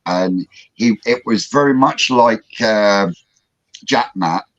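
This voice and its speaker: Deep-voiced man, rambling through details. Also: takes it slowly, no echo. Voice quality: rambling, technical explanations with many fillers. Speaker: host. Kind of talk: casual livestream chat. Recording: dull lo-fi audio.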